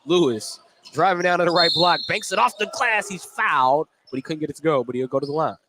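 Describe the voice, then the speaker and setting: A man's voice: on the high side, lively intonation, fast talker. A man calling live basketball play-by-play on the radio from a gym, given to chuckling between calls. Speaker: play-by-play announcer. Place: indoor basketball gym, courtside. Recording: noisy gym broadcast. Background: game noise, bouncing basketball.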